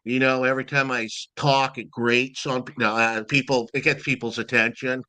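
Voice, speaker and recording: A man with a naturally loud voice talks at length as an interview guest, rambling with a lively up-and-down delivery. His tone is bright and forceful. The sound is dry and close, with no room echo. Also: medium pitch, moderate pace. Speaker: guest, event timekeeper. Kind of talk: casual interview chat.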